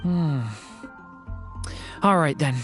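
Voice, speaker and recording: A woman's voice: deep voice, very slow, soft background music. A woman playing a polite, hesitant young woman in a video game, deferential and tentative. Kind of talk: video game character acting.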